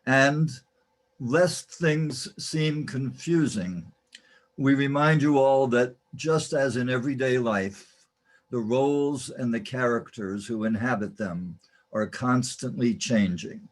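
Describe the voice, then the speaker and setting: Guy narrating a radio play intro, slow and ceremonious, theatrical, mid pitch. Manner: measured, ceremonious.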